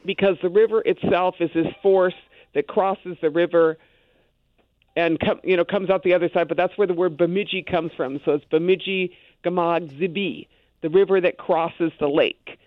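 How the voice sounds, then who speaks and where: A woman's voice: fairly low pitch, steady tone, normal speed, dry recording. A woman guest explaining things conversationally on a radio talk show, emphatic and full of "you know" asides. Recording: phone-like narrow band.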